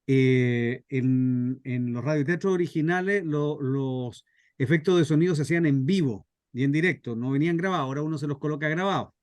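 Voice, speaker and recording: A man's voice: fairly high pitch, a little fast, a little mellow, no room sound. A man with a Chilean accent explaining things informally, as the teacher of a workshop. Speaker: scriptwriter leading a radio drama workshop.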